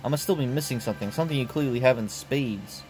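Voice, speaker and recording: A man voicing a humble, earnest young male knight in a game who speaks softly and sincerely. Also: medium pitch, quick pace, faint music behind, natural voice. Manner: soft-spoken, earnest.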